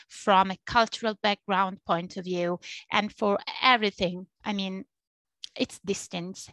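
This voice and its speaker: A woman's voice: mid-range voice, creaky, fairly bright tone, no room sound, rough recording. A woman in her thirties, a native Italian speaker with an Italian accent, explaining earnestly as an interpreter in a webinar over a headset on a video call. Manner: earnest, explaining, repeats points for emphasis. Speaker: interpreter and Chinese interpreting teacher. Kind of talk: webinar panel talk. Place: small home office.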